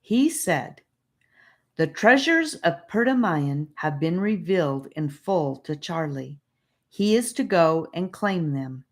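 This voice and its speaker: A woman's voice: deep voice, expressive, slow pace, heavily acted. A woman voicing an earnest, wild young girl messenger in an audiobook, with an urgent, emphatic tone. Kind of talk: audiobook character voice.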